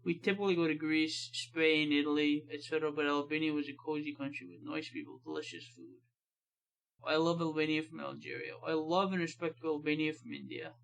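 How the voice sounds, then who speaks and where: A man's voice: on the high side, little pitch movement, fairly quick, creaky, a bit bright, rough recording. An American man hosting a reaction video, chatting casually and reading comments aloud with laid-back, offhand asides. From United States.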